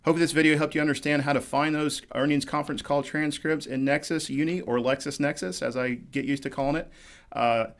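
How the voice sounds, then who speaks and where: A man's voice: a little high, steady tone, fairly quick. A man in his forties giving a casual, conversational screencast tutorial as a librarian presenter, explaining informally. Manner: conversational, informal, hedging with "basically" and "kinda". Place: small office, indoors.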